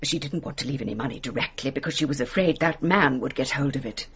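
An actress playing a fussy, genteel English lady companion in a radio drama, hesitant, apologetic and gossipy, with a breathy English voice. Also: rumbling low, quick pace, fairly bright tone, a little hiss or hum. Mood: uneasy, defensive. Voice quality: breathy, husky. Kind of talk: British radio drama acting.

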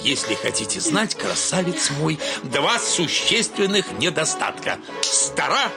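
A man's voice: on the high side, big pitch swings, unhurried, gravelly, over loud music, heavily acted. An actor playing a blustering older landowner father, fussy and wordy with verbal tics, in theatrical radio comedy style. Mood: blustering, placating.

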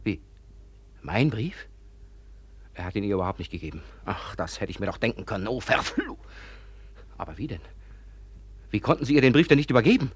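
Radio drama: vain, agitated young gentleman, rough-edged voice, very animated delivery. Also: fairly low pitch, brisk, long pauses. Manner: agitated, accusing, swinging from muttering to outbursts. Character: hot-tempered, vain, anxious young man of society. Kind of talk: German radio drama acting.

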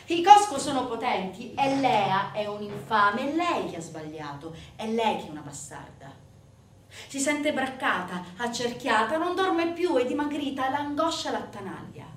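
A woman delivers a theatrical narrative monologue in a fairly high voice, with intense emphasis that surges and falls away. She sounds set back from the microphone in a very roomy space, with a faint layer of noise. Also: expressive, measured pace, far from the mic. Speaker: stage actress.

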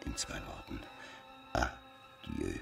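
An actor playing a self-absorbed, calculating male lover in a literary radio drama, with slow, dramatic delivery. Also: a bit bright, faint music behind. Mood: solemn, regretful.

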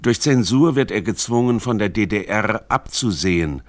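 A man's voice: fairly low pitch, expressive, moderate pace, no room sound. A man reading scripted narration for a radio documentary. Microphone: close studio microphone.

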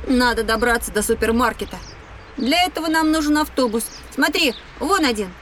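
A woman's voice: on the high side, animated, moderate pace, a bit bright, distant mic, slight background noise. A woman playing a reckless, carefree schoolboy in an educational children's audio drama, breezy, boastful and dismissive. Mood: excited, careless.

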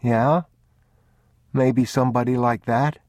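A man's voice: medium pitch, fairly even intonation, slow pace, no room sound, studio quality, voice pushed up, natural voice. A man voicing a frightened, sick young boy who speaks in a thin, pleading voice. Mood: uncertain. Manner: small pleading voice, thin and quiet.

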